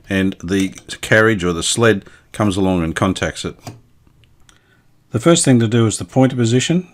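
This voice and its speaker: A man with a fairly low voice talks through a hands-on repair job. He is casual and unscripted, thinking aloud as he works his way through each step. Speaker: host of radio repair video.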